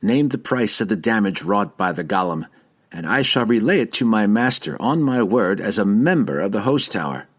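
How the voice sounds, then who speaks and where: Man voicing a cool formal lady wizard, measured, husky read, storybook. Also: fairly low pitch, animated. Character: composed formal female wizard.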